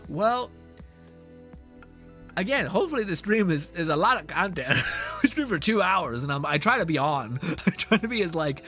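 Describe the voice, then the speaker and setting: A man streaming and chatting casually with viewers, rambling with many restarts, his voice a little hoarse. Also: high voice, sing-song, moderate pace, sharp tone, soft background music. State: slightly hoarse. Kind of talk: casual stream chat, reading chat.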